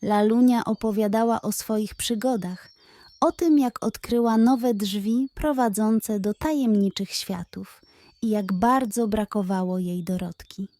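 A woman reads a children's bedtime story with gentle, careful expression. Her voice is mellow and slightly high, paced very slowly, and the sound is close and dry. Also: no echo.

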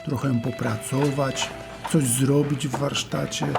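A man playing a wistful, resigned paralysed man in a rhymed Bible audio drama for children, with measured delivery. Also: medium pitch, fairly even intonation, creaky, over loud music.

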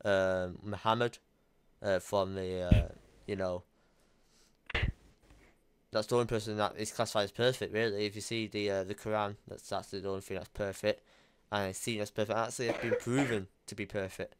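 Blunt low-voiced young man. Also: steady tone, a little fast, clean tone. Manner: blunt, emphatic, swears casually. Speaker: YouTuber. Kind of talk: casual call chat.

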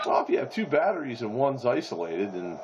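A man's voice: mid-range voice, sing-song, brisk. A man chatting casually over CB radio. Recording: muffled, noisy CB radio audio.

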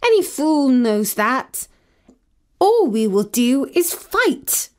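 A woman's voice: high-pitched, big pitch swings, takes it slowly, full character voice. A woman voicing a proud, vain magical cat narrating a children's story, with a haughty, dramatic manner. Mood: indignant.

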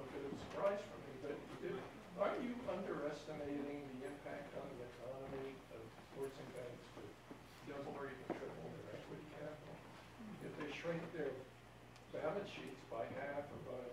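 A man in a conference audience asking a speaker pointed, insistent questions. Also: a little high. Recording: distant, echoey hall audio with noise.

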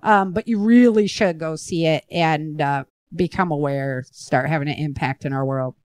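A woman's voice: sits low, moderate pace, darkish tone, no room sound, clean studio sound. An American woman hosting a casual talk show, speaking in an earnest, opinionated and emphatic way. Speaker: podcast host and author.

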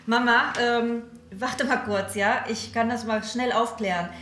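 A woman's voice: fairly high pitch, steady tone, a little slow, fairly bright tone, roomy sound, slight background noise. A woman acting as a worried middle-aged mother in a scripted police reality show, speaking in a small office. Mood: flustered. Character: worried middle-aged mother reporting her son's prank.

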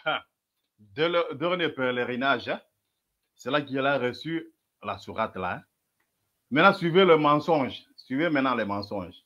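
A man's voice: medium pitch, animated, moderate pace, long pauses, pushed and bright, lo-fi sound. A man commenting sarcastically on a replayed religious debate, repeatedly urging his listeners to follow along. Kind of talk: mocking commentary over replayed clips.

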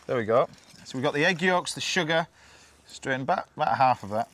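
A man, a TV chef chatting through a cooking demonstration outdoors, instructive and quick to laugh. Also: medium pitch, lively intonation, fairly bright tone. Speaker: TV chef host. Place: outdoors at a campsite.

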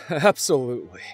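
A man playing a wry, excitable part-elf adventurer in a fantasy audio drama, with a casual manner. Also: mid-range voice, breathy edge, full character voice.

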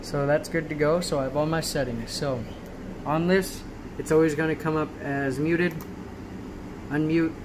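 A man giving a casual, off-the-cuff tutorial in a halting way with frequent check-ins, recorded on a handheld phone indoors. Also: on the high side. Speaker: tutorial maker. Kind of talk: casual off-the-cuff screen walkthrough. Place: small room indoors at a laptop. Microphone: handheld phone camera filming the screen. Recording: noisy home phone video, steady hum.

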